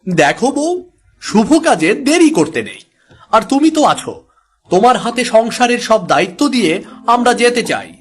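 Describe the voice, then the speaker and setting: Resolute cartoon fisherman male voice actor: high for a man, swinging pitch, earnest and persuasive. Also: very animated, moderate pace. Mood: earnest, determined. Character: resolute village fisherman, adult son and husband. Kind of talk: Bengali moral-story cartoon dubbing.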